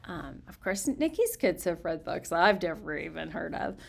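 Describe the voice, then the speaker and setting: Older woman with very creaky, gravelly voice. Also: on the low side, normal speed. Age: older, around 60s. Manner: chatty, affectionate, expressive, giggly. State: tired, slightly punchy from little sleep. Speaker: storytelling vlogger. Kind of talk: casual, rambling personal chat to camera.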